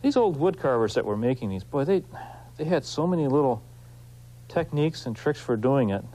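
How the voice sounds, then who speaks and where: A man hosting an instructional craft demonstration, explaining informally and in a folksy, unhurried way while he works with his hands. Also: medium pitch, lively intonation, dark tone, poor audio. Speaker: woodcarving instructor, show host.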